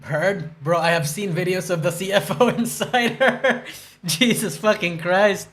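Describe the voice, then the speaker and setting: A man's voice: high voice, a little slow, a bit bright, faint music behind. A young man streaming live, rambling and swearing as he reacts to news, groggy from a hangover and stuttering. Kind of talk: casual livestream chat reacting to news.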